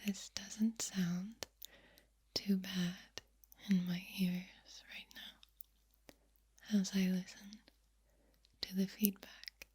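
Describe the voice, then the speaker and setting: A woman doing soft-spoken ASMR, mostly in a breathy whisper, deliberately drawing out and repeating words close to the microphone. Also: mid-range voice, little pitch movement, a little hiss or hum. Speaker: ASMR host. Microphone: close, sensitive microphone.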